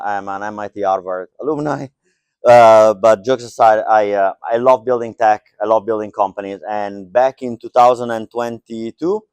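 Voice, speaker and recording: A man's voice: medium pitch, darkish tone, clear, resonant tone, dry recording. A middle-aged man giving a joking, loose tech talk to a live audience, with lots of fillers. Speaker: conference speaker. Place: lecture hall.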